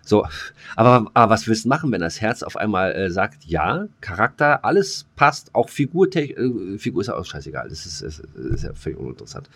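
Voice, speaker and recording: A man's voice: fairly low pitch, big pitch swings, fairly quick, a bit bright. A man hosting a podcast in casual, joking banter, rambling colloquially and often repeating his words. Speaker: podcast host.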